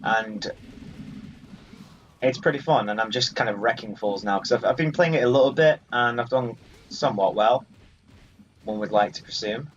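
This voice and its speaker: A man's voice: mid-range voice, normal speed, long pauses, slight background noise. A man giving casual, rambling let's play commentary on a video game. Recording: muffled sound, game music behind. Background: video game music.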